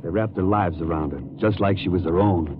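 A man's voice: sits low, brisk, close to an everyday voice. A man playing an earnest, folksy local police detective in a 1950s radio drama, conversational and businesslike. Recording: tinny, faintly noisy vintage radio.

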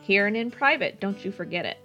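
A woman's voice: medium pitch, animated, fairly quick, pushed and bright, highs cut off, faint music behind. A woman reading the part of a perky, teasing, bossy young student girlfriend in a story. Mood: playful, bossy. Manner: teasing, commanding.

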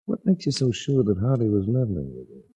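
An actor playing a cool, wisecracking insurance investigator with a dry, hardboiled delivery in 1940s radio drama. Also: male voice, fairly low pitch, big pitch swings, fast talker, mellow, slightly rough, full character voice. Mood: guarded, dry. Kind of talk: 1940s radio detective acting and narration. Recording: muffled old 1940s radio recording.

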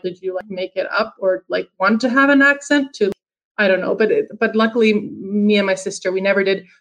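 A woman's voice: medium pitch, low-quality recording. A woman from Finland with an American accent, whose first language is Finnish, talking as a guest in a casual conversation and rambling with frequent restarts and fillers.

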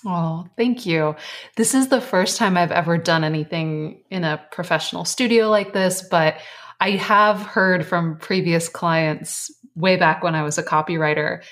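A woman author and life coach, earnest and reflective as a guest, her voice slightly hoarse. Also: on the low side, a little slow, a bit bright. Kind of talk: casual interview chat.

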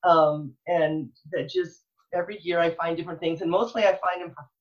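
Earnest American woman, dull lo-fi audio. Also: fairly low pitch. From Texas, United States. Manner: earnest, emphatic, halting with repeated phrases. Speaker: elementary school librarian, interview guest. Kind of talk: enthusiastic conversational interview.

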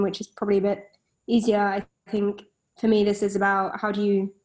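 Hesitant woman, flat delivery. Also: medium pitch. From Britain. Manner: hesitant, many fillers. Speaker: company co-founder, panel guest. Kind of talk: casual chat.

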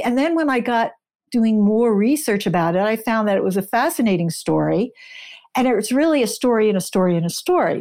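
A woman with a mid-range voice talks as an interviewed author. She explains things conversationally, measured in places and stressing key words. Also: mid-range voice, a little mellow. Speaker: author, podcast guest.

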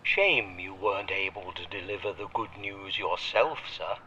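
A man's voice: deep voice, sharp tone, dull audio, a little hiss or hum. An actor playing a devoted, fatherly old butler in an audio drama, formally polite and sentimental, heard through a radio filter. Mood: formal, solemn. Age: older. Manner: formal, measured, deferential yet tender.

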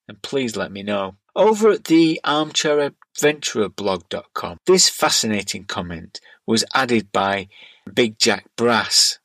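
A man's voice: lowish voice, expressive, a little slow, a bit bright, dry recording. A middle-aged man reading scripted narration and listener letters aloud as a podcast host.

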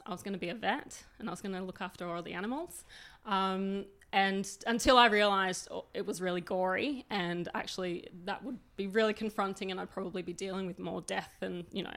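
A woman's voice: mid-range voice, gravelly, fairly bright tone. A woman in her thirties from New South Wales with an Australian accent, chatting openly as an interview guest. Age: 30s. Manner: open, chatty storyteller. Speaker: guest, recipe developer. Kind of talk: casual interview.

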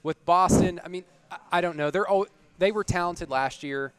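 A man giving measured, analytical commentary in a casual sports discussion as a podcast panelist. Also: sits high, fairly bright tone, smooth.

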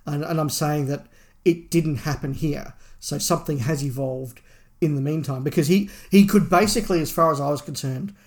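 A man's voice: on the high side, normal speed, a little mellow. A man co-hosting a podcast, giving opinionated, emphatic views in a casual review discussion.

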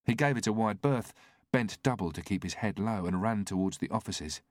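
A man's voice: fairly low pitch, normal speed, no room sound, studio quality. A man reading a scripted thriller as an audiobook narrator.

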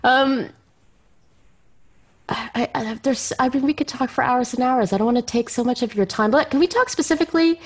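A woman's voice: high-pitched, moderate pace. A woman hosting a conversational interview, circling tentatively toward her questions. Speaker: podcast host.

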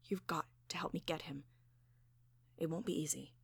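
Woman acting a hushed, grimly vengeful woman. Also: deep voice, moderate pace, no room sound, clean studio sound, voice pushed down, exaggerated voice. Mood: secretive urgency. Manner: hushed, breathy, urgent, grimly insistent. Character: exasperated, vengeful woman, grimly set on killing.

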